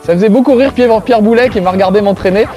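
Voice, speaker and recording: A man's voice: high voice, fast talker, mellow, soft background music. A man with a Corsican accent, hosting a video game show and commenting as he plays, joking and mocking himself. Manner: joking, self-mocking, chatty. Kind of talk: casual gameplay commentary to camera. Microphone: close framing, tight on face.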